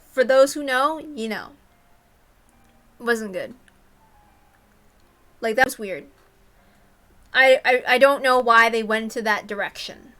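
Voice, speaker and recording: A woman's voice: high voice, pushed and bright. A woman reading a story aloud expressively, with casual commentary of her own. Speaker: reader and commentator.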